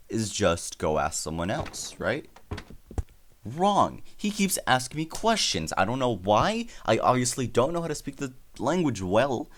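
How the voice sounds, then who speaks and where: A man's voice: medium pitch, slight background noise. A teenage boy whose first language is Spanish, telling a rambling, self-deprecating story while playing a game, often stammering and restarting. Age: teens. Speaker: YouTuber.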